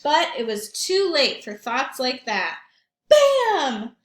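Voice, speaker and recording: A woman teacher reading aloud and talking to young children in an expressive storytelling manner, with questions and asides. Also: high-pitched, takes it slowly, roomy sound. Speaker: primary school teacher reading aloud to pupils.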